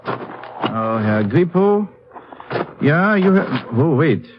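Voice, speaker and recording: High-pitched mountain guide. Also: male voice, very animated, extremely slow, exaggerated voice. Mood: businesslike. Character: brisk, practical male Alpine mountain guide. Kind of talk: old radio drama acting. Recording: muffled, noisy vintage radio recording.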